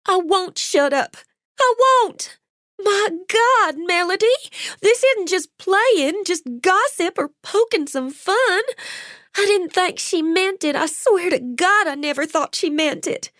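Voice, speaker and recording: A woman voicing a hysterical young woman who sobs and pleads in a shrill voice. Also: expressive, slow pace, a bit bright, no echo, clean studio sound, full character voice. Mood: panicked. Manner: shrill, sobbing, gushing.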